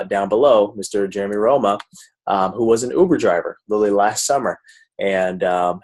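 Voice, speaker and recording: A man with a fairly low-pitched voice hosts an online recruitment webinar. He presents like a network marketer, salesy yet informal, chatting directly to the people on the call. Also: a little mellow. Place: live video call.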